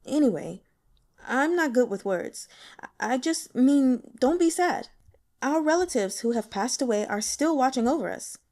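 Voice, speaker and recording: A woman's voice: sits high, animated, darkish tone, pitched above the performer's own voice. Woman reading as a shy, earnest young man. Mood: awkward reassurance. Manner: halting, foolishly sincere. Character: shy, awkward, earnest young man consoling someone gently. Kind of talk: audiobook character dialogue.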